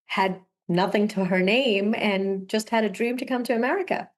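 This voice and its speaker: A woman's voice: medium pitch, normal speed. A young woman from Sri Lanka, a guest talking over a video call, telling her story earnestly and laughing often. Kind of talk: casual interview conversation.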